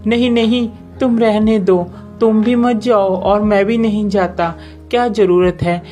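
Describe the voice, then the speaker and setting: A woman's voice: mid-range voice, fairly even intonation, unhurried, dark tone, faint music behind, voice pushed up, lightly acted. A woman voicing a timid, lonely male rabbit in a cartoon story, speaking in an anxious, plaintive manner. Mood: fearful. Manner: anxious, plaintive, repeating refusals.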